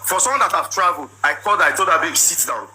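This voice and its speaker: Loud Nigerian man, high-pitched, insistent. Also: lively intonation, very fast. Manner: loud, forceful, repetitive storytelling. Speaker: husband telling his side. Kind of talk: emotional personal account. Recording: noisy background.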